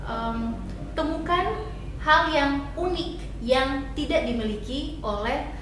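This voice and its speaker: High-voiced woman in a very echoey room. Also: takes it slowly. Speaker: guest, radio announcer and voice-over talent. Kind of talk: casual interview talk. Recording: very echoey room, faint background noise.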